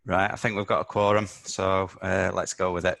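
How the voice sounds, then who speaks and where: A man hosting a business webinar, a little croaky from talking all week, explaining with many ums and uhs. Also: deep voice, little pitch movement, normal speed, a bit bright, dry recording. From United Kingdom. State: slightly croaky voice. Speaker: webinar host, accountant.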